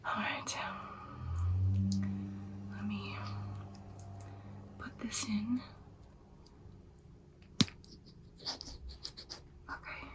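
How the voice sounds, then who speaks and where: A woman playing an ancient, confident female vampire girlfriend in an ASMR roleplay, whispering breathily in an intimate, unhurried, matter-of-fact way. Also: medium pitch, recorded from a distance. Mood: soft, businesslike.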